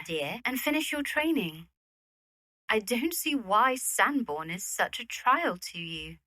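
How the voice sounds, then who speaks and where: Bright, expressive young lady played by a woman. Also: fairly high pitch, no echo, exaggerated voice. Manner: poised, expressive. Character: poised, coolly affectionate young widowed lady. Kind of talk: amateur period radio drama acting.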